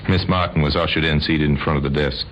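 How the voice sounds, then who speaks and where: Deep, deliberate radio crime narrator. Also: male voice, fairly even intonation. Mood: grave. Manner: deliberate, dramatic. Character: grave, sardonic male narrator of murder cases. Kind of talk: scripted old-time radio crime narration. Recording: dull, noisy old radio recording.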